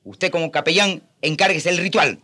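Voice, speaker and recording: An actor in a radio drama playing a haughty, cruel Spanish captain, imperious and threatening. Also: male voice, a little high, normal speed, pushed and bright. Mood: commanding. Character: haughty cruel male Spanish colonial captain, menacing and commanding.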